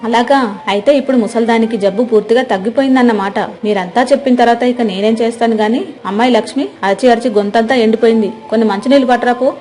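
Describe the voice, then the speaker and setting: A woman's voice: on the high side, steady tone, fast talker, a little mellow, faint music behind, lightly acted. A woman voicing a shrewish, scheming mother-in-law in a moral storybook tale, dramatic and accusing. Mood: grudging, defeated. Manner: wailing, accusing, dramatic exclamations.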